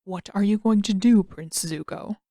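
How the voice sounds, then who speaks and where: A woman voicing a grave older uncle in an audio story, speaking in a calm, formal and measured manner. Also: mid-range voice, no room sound, studio quality, natural voice. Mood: grave, steady.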